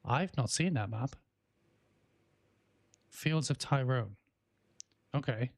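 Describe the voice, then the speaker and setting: A man in his thirties with an English accent tinged with Welsh, chatting casually on a game stream while coughing and clearing his throat now and then. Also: mid-range voice, expressive, slow, dry recording, studio quality. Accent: English with a Welsh twang. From England. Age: 30s. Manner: rambling, many fillers and restarts. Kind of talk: casual livestream chat, reading chat aloud.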